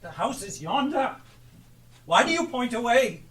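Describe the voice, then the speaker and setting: An actor playing a frightened, repentant old miser on stage, with a halting, pleading delivery. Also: male voice, very high voice, sing-song, a bit bright, a little hiss or hum, heavily acted. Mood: solemn dread. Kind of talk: theatrical live stage acting. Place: theatre stage. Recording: distant live stage recording.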